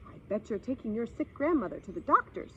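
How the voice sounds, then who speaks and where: A woman's voice: on the high side, big pitch swings, fairly quick, highs cut off, a little hiss or hum. A woman voicing a nosy, presumptuous tortoise in a children's storybook, inquisitive in manner. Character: nosy tortoise questioning a passer-by.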